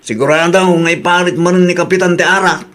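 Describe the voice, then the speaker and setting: An eager, excited village friend, as a man puts him on in an audio drama, pushing his voice up high and a little louder than his usual talk. The lines come out quick and keen.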